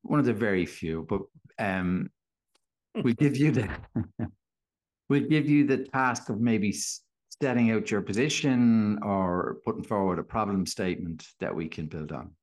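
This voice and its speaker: A man with a low-pitched voice. He hosts a podcast conversationally, steering and probing, with somewhat hesitant phrasing. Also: measured pace, a little mellow. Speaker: podcast co-host. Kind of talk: conversational hosting.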